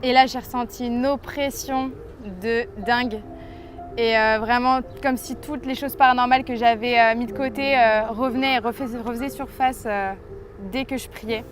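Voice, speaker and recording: A woman's voice: on the high side, fairly even intonation, normal speed, music in the background. A woman in her twenties from France, giving an earnest, hesitant personal faith testimony to the camera. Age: 20s. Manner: earnest, hesitant, reflective storytelling.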